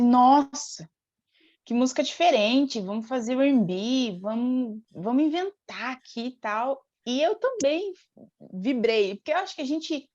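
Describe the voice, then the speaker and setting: A Brazilian woman chatting casually as an interview guest, telling stories in an informal, animated way. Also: a little high, dull audio. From Brazil, born in Rio de Janeiro state, raised in Paraná from age eight. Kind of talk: casual chat, storytelling.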